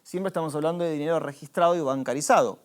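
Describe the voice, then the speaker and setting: An Argentine man speaks as a financial adviser in a relaxed conversation. He explains patiently, walking through concrete examples, and now and then restarts a phrase while he finds the word. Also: fairly high pitch, fast. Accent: Argentine Spanish.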